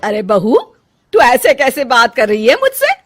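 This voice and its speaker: A woman voicing a demanding middle-aged mother-in-law in a cartoon story, talking loudly and giving orders. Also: a little high, wildly swooping pitch, a little slow, soft background music, voice pushed up, full character voice. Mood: indignant. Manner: loud, commanding, fussy.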